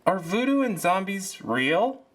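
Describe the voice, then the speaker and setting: A man as a high-pitched curious robot son. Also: big pitch swings, a little slow, a bit bright, exaggerated voice. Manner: inquisitive, naive. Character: curious young robot son asking questions.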